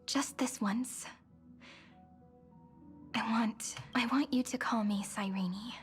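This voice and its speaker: A woman voicing a gentle, wistful young woman in a game, speaking softly in a breathy, half-whispered way. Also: fairly high pitch, steady tone, slow, soft background music. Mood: tender. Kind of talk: game voice acting.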